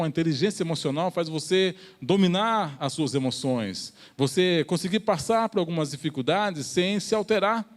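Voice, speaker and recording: A Brazilian man delivers a church sermon in a distinctly high-pitched male voice. He explains his points step by step, often pausing and repeating a phrase before carrying on. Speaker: preacher.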